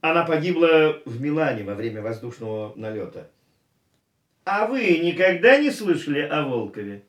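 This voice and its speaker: A chatty casino gentleman. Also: a little high, moderate pace. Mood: anecdotal. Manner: talkative, lively storytelling. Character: chatty older male casino gentleman, reminiscing. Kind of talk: radio play acting. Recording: roomy, distant radio play recording with faint music.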